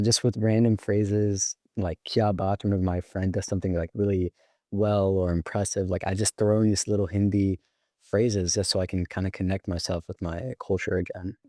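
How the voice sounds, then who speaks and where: Low-voiced teen guy cohosting a student podcast, laid back, lots of fillers, dry close mic. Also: no room sound. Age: teens. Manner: relaxed, with frequent fillers. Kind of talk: casual conversational podcast chat.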